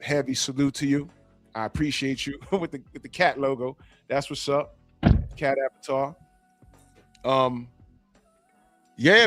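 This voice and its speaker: A man hosting a podcast in a casual, animated and profane way, often acting out quotes as he tells a story. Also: medium pitch, dry recording. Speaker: podcast host.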